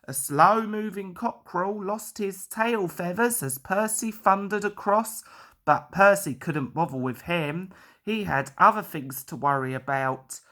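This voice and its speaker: A woman's voice: on the low side, unhurried, fairly bright tone. A woman reading a children's story as a scripted narration.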